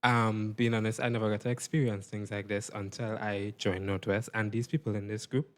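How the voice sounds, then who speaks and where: A man's voice: on the low side, little pitch movement, brisk. A young man with a Trinidadian accent giving earnest interview answers as a stage performer. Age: young adult.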